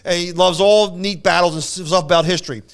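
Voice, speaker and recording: Stammering male storyteller with a clear voice. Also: sits high, steady tone, quick pace. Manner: rambling, stammering, repeats himself. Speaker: storyteller on a podcast. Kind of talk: casual anecdote telling.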